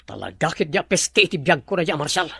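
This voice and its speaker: A man's voice: on the high side, sing-song, fast, creaky, a bit bright, husky, no echo, heavily acted. A man playing a proud, aggrieved rival in love in an Ilocano audio drama. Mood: bitter, aggrieved.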